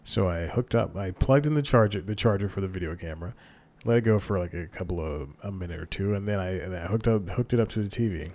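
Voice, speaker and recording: A man's voice: sits low, fast talker, darkish tone. A young man hosting a casual solo podcast, rambling with many fillers and self-corrections into a desk microphone. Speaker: podcast host.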